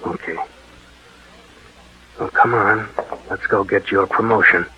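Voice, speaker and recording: A man's voice: on the low side, steady tone, takes it slowly, background noise. A man playing a hard-boiled, weary narcotics detective in a 1940s radio drama. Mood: sour. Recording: dull, muffled 1940s radio recording.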